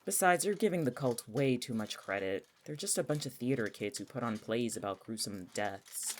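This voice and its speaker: Casting: male actor for jobless young cynic, superstitious and exasperated, quick to argue, in natural audio-fiction dialogue. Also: medium pitch, animated, close to an everyday voice. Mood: sardonic, gloomy. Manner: sardonic, exasperated, argumentative.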